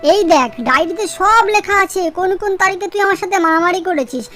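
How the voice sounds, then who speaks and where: Woman acting little male cartoon mouse, extremely high squeaky pitch, chirpy, bossy, chatty, West Bengali accent, comic dub. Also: lively intonation, fairly quick, fairly bright tone, faint music behind. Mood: insistent scolding. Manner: chatty, repeats words insistently.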